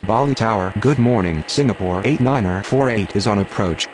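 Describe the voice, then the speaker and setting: A man's voice: on the low side, moderate pace, a little hiss or hum, lightly acted, rough recording. A man playing a businesslike airport radio voice in clipped aviation phraseology for a game.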